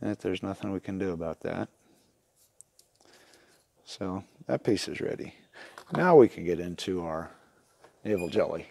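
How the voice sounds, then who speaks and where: A man in his fifties with a fairly low voice explains a hands-on repair. He is plainspoken and casual, taking things step by step as an instructor at his workbench. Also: lively intonation, unhurried, creaky, long pauses, a little mellow. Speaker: instructor demonstrating lantern repair. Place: indoor wooden workshop at a workbench.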